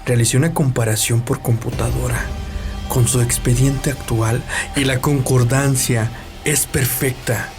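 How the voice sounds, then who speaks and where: An actor playing a sly, gloating hospital nurse who blackmails with a smug, mocking manner in a dramatized audiobook. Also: male voice, medium pitch, steady tone, a little slow, natural voice. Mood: confident accusation. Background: background music.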